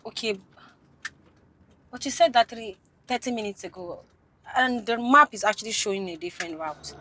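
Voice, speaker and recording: A woman playing a hot-tempered, excitable young woman in a screen drama, emphatic and confrontational. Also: a little high, normal speed, slight background noise. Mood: suspicious. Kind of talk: naturalistic screen drama acting.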